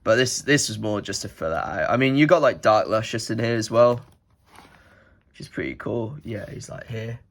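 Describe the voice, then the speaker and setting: A man's voice: lowish voice, moderate pace. A young man with a head cold that makes him sound nasal, rambling casually through his collection with lots of filler words. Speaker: card collector vlogger. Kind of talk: casual rambling show-and-tell.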